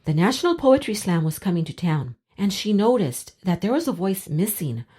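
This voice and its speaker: A woman's voice: mid-range voice, big pitch swings, moderate pace, warm tone, husky. A woman radio reporter reading a scripted news narration.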